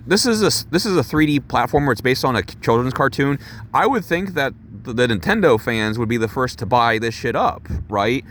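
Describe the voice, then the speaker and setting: A young man doing a casual unboxing vlog for his chat, excitable and rambling, swearing and laughing at himself while losing his voice. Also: medium pitch, slight background noise. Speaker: gaming YouTuber doing an unboxing.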